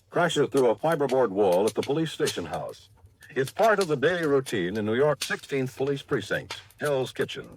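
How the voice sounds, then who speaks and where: A man's voice: mid-range voice, slight background noise. A man with an American accent delivering scripted 1950s radio announcements in a projected, polished, dramatic style. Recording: vintage radio broadcast over loud music. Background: loud music.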